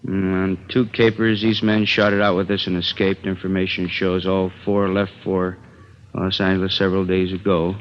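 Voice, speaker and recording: An actor playing a businesslike policeman reading a bulletin, measured and official, in a 1950s radio drama. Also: deep voice, flat delivery, lightly acted. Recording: dull, hissy vintage radio recording.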